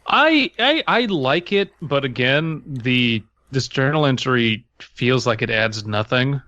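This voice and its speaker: A man's voice: medium pitch, expressive, slow pace, pushed and bright, highs cut off. A man co-hosting a podcast in casual chat, blunt and skeptical. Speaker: podcast co-host.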